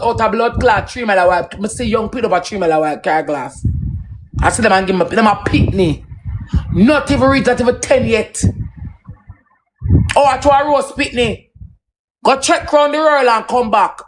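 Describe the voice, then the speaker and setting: A man's voice: extremely high pitch, normal speed, long pauses, slight background noise, low-quality recording. A man with a Jamaican accent in a combative, profane, emphatic unscripted rant on a livestream. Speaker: social media live streamer.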